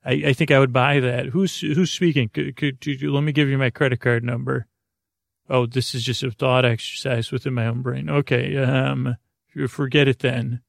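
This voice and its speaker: A man with a gravelly, creaky voice drifts through a meandering bedtime ramble, full of hesitations and half-finished thoughts. He keeps his pitch flat and lulling. The sound is dry and close, with no room around it. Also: no echo. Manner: meandering, hesitant, deliberately soothing. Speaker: sleep podcast host.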